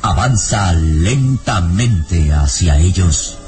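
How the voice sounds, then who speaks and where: A man's voice: sits low, lively intonation, heavily acted. A man with a Mexican accent narrating a gothic radio serial in a solemn, theatrical manner. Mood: solemn, dramatic. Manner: slow-phrased, solemn, theatrical. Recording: old radio serial with background music.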